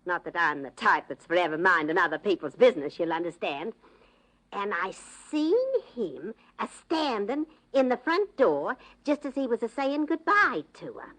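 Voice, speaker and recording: A woman's voice: medium pitch, very animated, normal speed, fairly bright tone, rough recording. A woman playing a garrulous working-class English neighbour in an old-time radio drama, rambling along in a folksy, self-justifying way. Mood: chatty, flustered.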